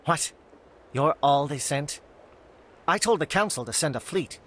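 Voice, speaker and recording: An actor playing a brisk, resolute alien captain in a video game, speaking in a clipped, formal, businesslike way. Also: male voice, on the high side, expressive, a bit bright, slight background noise. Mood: exasperated.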